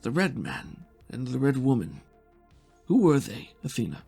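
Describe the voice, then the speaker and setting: A man voicing an intense, suspicious male psychic in a tabletop game, speaking in dramatic, halting phrases with weighty pauses. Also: medium pitch, very animated, vocal fry, darkish tone, slightly rough, soft background music, heavily acted. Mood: probing, suspicious. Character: intense probing male psychic who reads objects, grave and suspicious.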